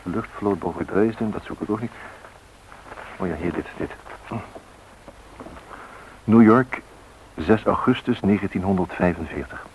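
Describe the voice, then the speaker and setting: Radio play narrator: deep, reflective, brooding, telling of myth and history over slight tape hiss. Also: male voice, muffled recording. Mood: searching, grave. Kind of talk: scripted radio drama narration. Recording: hissy old radio recording.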